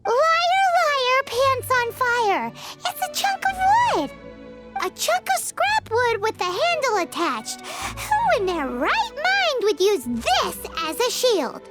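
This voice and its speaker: A tiny sassy fairy sidekick, voiced by a woman, chatters bluntly in a very high, squeaky voice. She talks slowly and swoops around in pitch. Game music sounds clearly behind her. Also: wildly swooping pitch, full character voice. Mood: indignant. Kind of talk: video game dub.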